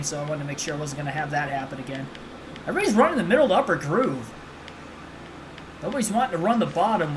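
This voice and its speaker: High-voiced young man gaming live. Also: background noise. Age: 20s. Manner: chatty, excitable, quick reactions. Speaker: livestream host. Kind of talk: casual live gameplay commentary.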